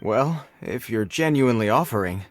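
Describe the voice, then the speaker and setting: An actor playing an earnest, dutiful soldier on guard in a video game, speaking in formal military phrasing. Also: male voice, medium pitch, animated, normal speed, natural voice. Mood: awkward, polite.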